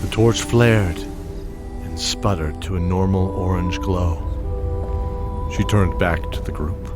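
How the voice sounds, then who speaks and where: A man's voice: sits low, slow. A man narrating a scripted fantasy audio drama. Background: loud music.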